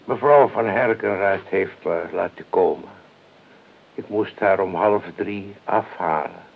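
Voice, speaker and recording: Slow, creaky, deadpan bodyguard. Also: male voice, low-pitched, heavily acted. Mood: matter-of-fact. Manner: deadpan, politely blunt. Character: unhurried, matter-of-fact freelance bodyguard, eccentric and nosy. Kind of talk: radio play acting. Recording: tinny, noisy old radio recording.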